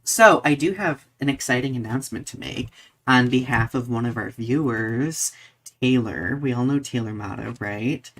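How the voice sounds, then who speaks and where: A man's voice: medium pitch, moderate pace, fairly bright tone. A man with a mid-range voice co-hosts a casual chat podcast. He talks expressively, leaning on words for emphasis and often circling back to find his phrasing. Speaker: podcast co-host.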